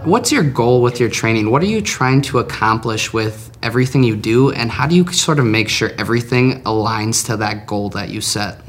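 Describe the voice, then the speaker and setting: A man's voice: medium pitch, expressive, moderate pace, hardly any pauses. A man hosting a business podcast, who introduces his guest and asks questions with friendly, affirming replies. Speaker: podcast host. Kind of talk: scripted podcast interview hosting.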